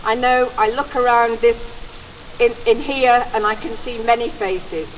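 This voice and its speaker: An older woman giving an earnest, halting, emphatic informal speech as a campaigner at an outdoor gathering. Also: a little high, fairly even intonation. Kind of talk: informal public rally speech. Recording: distant outdoor camera, background noise.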